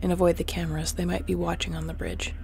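A woman playing a tough, wry, protective space mercenary captain in a sci-fi audio roleplay, terse and commanding, often hushed. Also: fairly low pitch, little pitch movement, fast, vocal fry, a little hiss or hum. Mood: hushed, tactical.

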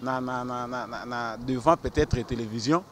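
A man's voice: medium pitch, moderate pace. A man in his thirties with a Congolese accent, mixing French and Lingala, answers a street interview in an earnest, argumentative way, stammering over repeated words. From Congo (DRC). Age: 30s. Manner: gestures with his hand while talking. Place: outdoors on a busy city square. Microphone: handheld mic held out by the interviewer. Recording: faint street noise outdoors. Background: faint traffic.

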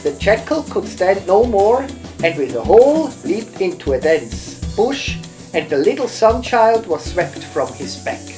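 A man in his fifties reading a bedtime story aloud for children in an expressive, deliberate way, as a lodge host. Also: sits high, music in the background. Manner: slow, expressive, deliberate phrasing. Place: lodge bedroom, lying in bed.

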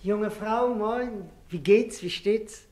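A man's voice: sits high, slow, darkish tone. A village rag dealer. He is gossipy and insistent, wheedling in a folksy way. It is played as 1960s German radio drama.

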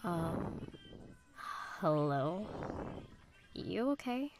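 A woman playing a blunt, sarcastic teenage girl in a visual novel game, with a deadpan, sardonic delivery. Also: lowish voice, drawn-out words, noisy background, heavily acted. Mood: casual.

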